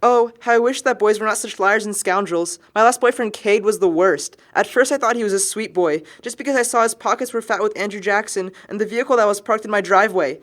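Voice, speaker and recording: A man's voice: sits high, brisk, hardly any pauses, a bit bright, voice pushed up. A young man voicing a whiny, indignant, melodramatic young woman griping about an ex. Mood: mock indignant. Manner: melodramatic, gushing.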